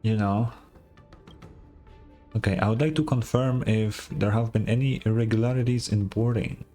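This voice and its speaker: A woman's voice: very deep voice, moderate pace, mellow, faint music behind. A woman game streamer giving casual, informal live commentary with a wry, sweary manner.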